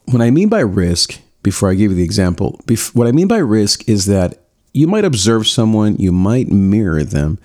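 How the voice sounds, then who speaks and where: A man's voice: fairly low pitch, big pitch swings, normal speed, darkish tone. A man giving social skills advice in a casual spoken lesson, reflecting on a personal story and often correcting himself as he talks. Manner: reflective, self-correcting, acts out quoted lines.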